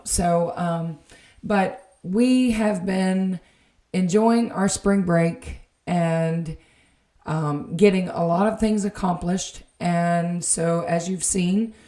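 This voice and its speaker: A woman narrating a home garden vlog late at night, slightly raspy and tired, rambling with many ums and self-corrections. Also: on the low side, mellow, roomy sound. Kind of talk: late-night voiceover, casual chat. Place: indoor room at home, voiceover.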